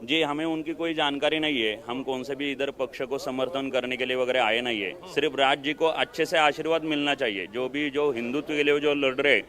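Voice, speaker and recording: A man's voice: medium pitch, fairly even intonation, a little fast, few pauses, a bit bright. A man, a priest answering unscripted in a street news interview.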